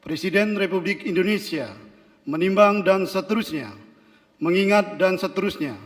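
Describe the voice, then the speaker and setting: A man in the role of military secretary, formally reading out an official decree in a solemn, deliberate way. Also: high voice. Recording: long hall reverb.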